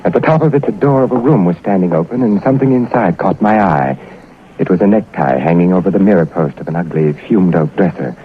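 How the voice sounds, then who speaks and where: A man acting a wary, sharp-witted British intelligence agent in a theatrical 1940s radio drama style. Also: low-pitched, fairly quick, slight background noise, lightly acted. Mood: grave, suspenseful. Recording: muffled old radio recording with music.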